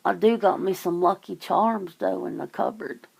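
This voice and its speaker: A woman's voice: fairly low pitch, moderate pace, vocal fry, darkish tone, husky, poor audio. A woman having a casual phone chit-chat, rambling and teasing, with some casual swearing. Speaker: ASMR channel host.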